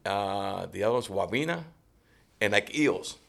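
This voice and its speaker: A man, a guest telling fishing stories in a relaxed way with frequent restarts. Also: lowish voice, unhurried, vocal fry. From Cuba. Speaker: guest angler. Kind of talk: casual podcast interview.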